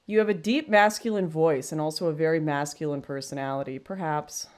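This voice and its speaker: A woman in her twenties with a deep voice, chatting frankly on a livestream, reading super chats and thinking out loud. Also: steady tone, a little fast. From Canada. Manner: chatty, frank, self-deprecating, thinks aloud with restarts. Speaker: livestream host.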